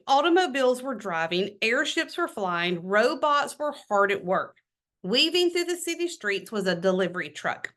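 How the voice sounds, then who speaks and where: A woman hosting a children's read-aloud, expressive and enthusiastic, talking straight to her young listeners in a casual way. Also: fairly high pitch. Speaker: host of a children's book read-aloud show. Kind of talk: reading a children's novel aloud with casual chatty asides.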